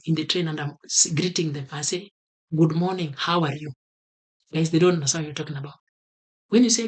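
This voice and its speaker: A man from Kenya with a Kenyan accent, talking earnestly to camera and repeating his key advice for emphasis. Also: high voice. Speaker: vlogger. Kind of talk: motivational talk to camera.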